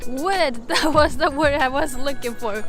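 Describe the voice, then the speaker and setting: A woman's voice: high voice, unhurried, a bit bright. A woman whose first language is Japanese, chatting to viewers in a casual exploring vlog while walking outdoors on a camera mic. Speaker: urban explorer vlogger. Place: outdoors at an old fort, walking. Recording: background music over poor outdoor audio.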